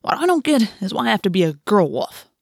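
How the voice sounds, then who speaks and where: A woman's voice: lowish voice, fairly quick, creaky, no echo. Audiobook wisecracker: teenage boy played by a woman, whiny complaints, heavy sarcasm, dry close sound. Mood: grumbling. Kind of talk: audiobook character voice.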